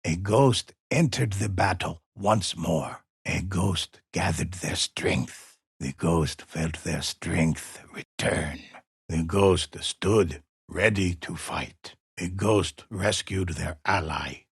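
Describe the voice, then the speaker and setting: An actor playing a grave old male storyteller in a video game, narrating warrior legends in a solemn, measured, husky voice. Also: low-pitched, dry recording, studio quality, full character voice. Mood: ominous, dramatic. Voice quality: husky, raspy. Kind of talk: dramatic scripted storytelling narration.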